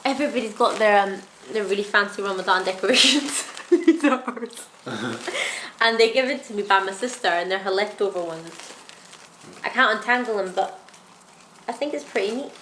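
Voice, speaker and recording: A woman's voice: medium pitch, expressive, moderate pace, a bit bright. A woman from Scotland, chatting playfully and laughing often in a casual vlog. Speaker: vlogger. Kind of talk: casual chat. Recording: noisy with car and street ambience.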